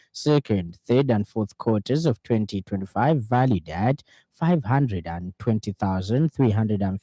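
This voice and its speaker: Low, mellow Zambian male newsreader. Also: no room sound. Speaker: radio news reader. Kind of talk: scripted news bulletin narration.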